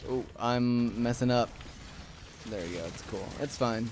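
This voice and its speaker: A man's voice: medium pitch, unhurried, background noise. Sleepy, rambling young male streamer. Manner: rambling, talks to chat while playing. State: sleepy, raspy and monotone. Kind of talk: casual gaming stream chat.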